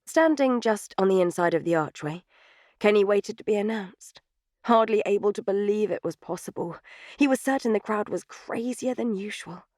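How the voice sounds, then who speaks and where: A woman's voice: mid-range voice, expressive, normal speed, a little mellow, no room sound, studio quality. A woman reading scripted third-person fiction as an audiobook narrator.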